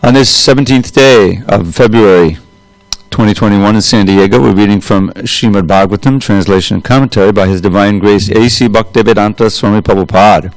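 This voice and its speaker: A man leading a devotional scripture class, reciting opening prayers slowly and in a measured way into a room microphone in a temple. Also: on the low side, dry recording, low-quality recording. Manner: loud voice. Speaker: class speaker reading scripture. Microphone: room microphone with adjustable volume.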